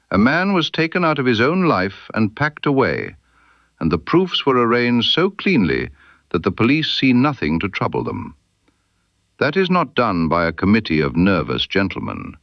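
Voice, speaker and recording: A man's voice: fairly low pitch, gravelly, dry recording, dull audio, voice pushed up, exaggerated voice. A man voicing an incisive, composed Victorian detective in a mystery story, with precise, formal, probing speech.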